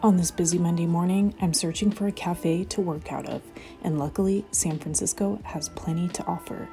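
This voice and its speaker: A woman's voice: on the low side, steady tone, normal speed. A young woman giving chatty, upbeat travel vlog narration, talking straight to her viewers as she shows places around her. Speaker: travel vlogger. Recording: loud background music over voice.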